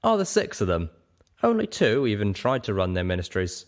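A man's voice: fairly low pitch, wildly swooping pitch, moderate pace. A man voicing a huge old male dragon in a story reading, earnest and nostalgic, with a reflective, emphatic manner. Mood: explaining, careful.

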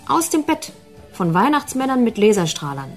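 A woman's voice: on the high side, sing-song, normal speed, faint music behind. Frank, informal woman. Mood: candid, persuasive. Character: frank, informal woman appealing to a detective colleague. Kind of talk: radio drama acting.

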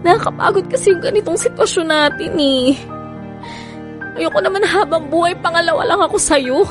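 A woman's voice: very high voice, brisk, music in the background. An actress playing a hurt, insistent young mother and mistress in a Filipino radio drama, pleading and confrontational.

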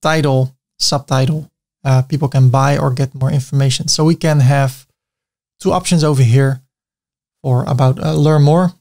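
A man's voice: medium pitch, fairly even intonation, normal speed, creaky, darkish tone. A man with a Dutch accent giving a chatty screen-recorded web design tutorial, with self-mocking asides.

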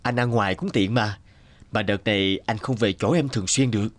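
A man voicing a wealthy company director in a cartoon, smooth-talking, patronising and dismissive. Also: on the low side, brisk, dry recording, close to an everyday voice. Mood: evasive. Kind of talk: Vietnamese cartoon dubbing. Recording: guitar music under the voice.

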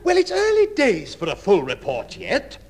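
A spluttering pompous naval captain. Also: male voice, high-pitched, wildly swooping pitch, normal speed. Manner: spluttering, stammering in disbelief. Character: flustered pompous naval captain, middle-aged man. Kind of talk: 1950s radio comedy acting.

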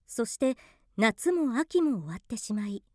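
Mellow, expressive woman storyteller. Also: fairly high pitch, a little slow, slight background noise. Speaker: narrator. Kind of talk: scripted storybook narration for radio drama.

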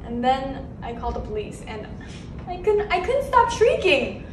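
A woman acting a dramatic monologue as a grief-stricken young nurse who blames herself, swinging between flat withdrawal and outbursts. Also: high voice, moderate pace, background noise. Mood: distraught. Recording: echoey room from a distance.

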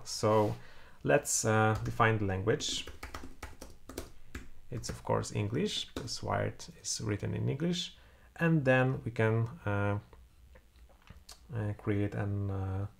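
Tutor: man, 30s, Polish accent, low gravelly voice, walks through code with frequent "uh"s. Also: slight background noise. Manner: thinks aloud while typing, many "uh" fillers. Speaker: programming tutor. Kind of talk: screen-recorded coding tutorial. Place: home room, indoors.

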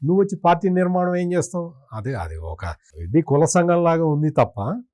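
A man's voice: a little high, very animated, fairly quick, warm tone. A man speaking as a political commentator in an interview, in a critical, opinionated way with emphatic repetition.

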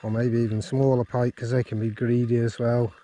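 A man's voice: medium pitch, level tone, fairly quick, warm tone. A man chatting casually and in an understated way outdoors by a river, as an angler presenting his fishing diary. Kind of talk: casual outdoor vlog chat.

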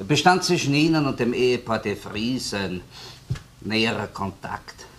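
An actor playing a courteous, dryly persistent police investigator in a German radio crime drama, questioning with little hums and tag words. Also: male voice, medium pitch, expressive, moderate pace, natural voice. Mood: probing, polite. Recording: noisy old radio play recording.

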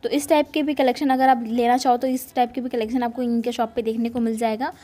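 A woman's voice: a little high, level tone, fast, warm tone. A woman narrating a shopping vlog casually, gushing with repeated praise. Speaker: shopping vlogger.

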